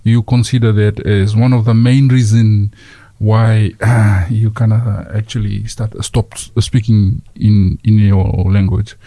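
A man with a Fijian accent hosting a community radio chat, halting with many fillers and slipping into Fijian phrases. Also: fairly low pitch, flat delivery, dark tone, dry recording. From Fiji. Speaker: radio host.

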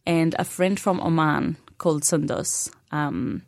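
Palestinian woman, native arabic speaker, young adult, low voice, soft-spoken, many pauses, dry studio sound. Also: steady tone, gravelly, dry recording. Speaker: interview guest. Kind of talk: radio interview conversation.

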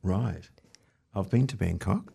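A man's voice: low-pitched, takes it slowly, vocal fry, warm tone, husky. A man hosting a show and asking his guest questions in a casual, conversational way. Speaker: radio or podcast interviewer.

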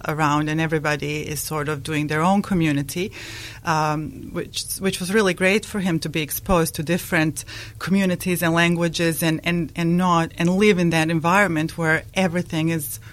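A woman in her forties from Bosnia, speaking English with a Bosnian accent, a guest in a radio interview who talks with many pauses and restarts. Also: sits low, fairly bright tone.

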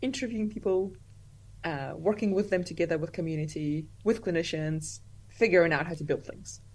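A young woman speaks in a lowish, relaxed voice. She talks casually as an interview guest, loose and chatty, leaning on "like" and laughing easily. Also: a little fast, husky, poor audio. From Russia. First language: Russian.

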